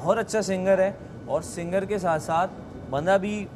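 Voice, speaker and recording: A man's voice: high-pitched, steady tone, moderate pace, background noise. A man, a reality show contestant, teasing and coaxing a friend in casual banter.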